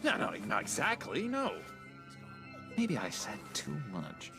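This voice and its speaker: Casting: jittery, needy male oddball, lowish creaky voice with big pitch swings, wheedling game dialogue. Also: huge pitch swings, full character voice. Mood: nervous, sly. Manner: theatrical, halting, wheedling. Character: unstable, needy, overfamiliar man, eerily polite and jittery. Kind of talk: video game voice acting. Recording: music behind voice.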